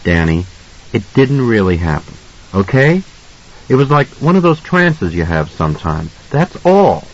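Man voicing a tense, animated father. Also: on the low side, a little slow, muffled recording, exaggerated voice. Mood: insistent, soothing. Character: tense, volatile father, insistent then remorseful. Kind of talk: audiobook character acting.

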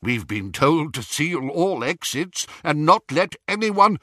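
A man's voice: on the high side, big pitch swings, slow, sharp tone, no room sound, studio quality, pitched above the performer's own voice, full character voice. A man voicing a nervous balding male wizard official who stammers defensively. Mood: uneasy.

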